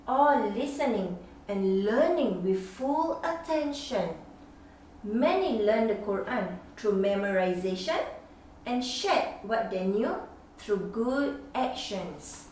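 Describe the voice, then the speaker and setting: Singaporean woman, storybook read-aloud, unhurried, singsong, echoey room, faint background hum. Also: on the high side. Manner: expressive, sing-song rhymes with gasps. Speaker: mother reading a picture book aloud. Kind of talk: children's picture book read-aloud. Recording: echoey room, mic at a distance.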